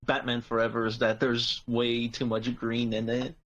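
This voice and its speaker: A man's voice: mid-range voice, completely flat delivery, normal speed, fairly bright tone, muffled recording. A man speaking casually as a panelist in a podcast group discussion.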